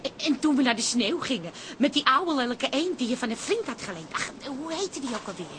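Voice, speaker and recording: A woman playing a bossy but sentimental middle-aged wife in a Dutch radio comedy, expressive and dramatic. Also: sits high, fairly quick. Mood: nostalgic. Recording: busy background ambience noise.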